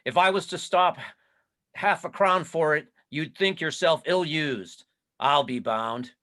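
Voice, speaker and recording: A man's voice: fairly high pitch, unhurried, sharp tone. A man playing a bitter old miser in a dramatic story reading, speaking in a curt, scornful manner. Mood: grudging, irritated.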